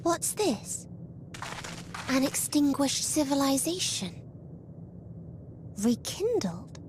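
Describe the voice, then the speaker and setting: A woman playing a grave, nihilistic otherworldly villain in a video game, with solemn, formal, deliberate phrasing. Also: high-pitched, sing-song, background noise, full character voice. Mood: quiet wonder. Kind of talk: dramatic video game voice acting. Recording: music behind the voice.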